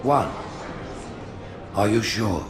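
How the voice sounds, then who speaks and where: Low, stately alien prophet. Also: male voice, lively intonation. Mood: probing. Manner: measured, oratorical, formal. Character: stately male alien prophet, calm, coldly judging leader. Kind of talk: cinematic video game acting.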